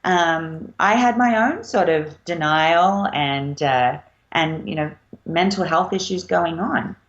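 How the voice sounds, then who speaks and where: A woman's voice: fairly low pitch, slow. A woman author speaking as an interview guest, reflective and hesitant, with many fillers. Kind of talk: casual interview chat. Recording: slightly dull lo-fi sound.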